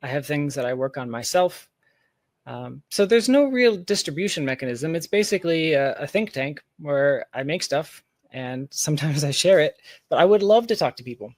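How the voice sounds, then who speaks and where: A man's voice: on the high side, expressive, moderate pace, no echo, clean studio sound. A man speaking as an interview guest, explaining his work casually and candidly with emphatic, self-deprecating asides. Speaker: interview guest, software founder.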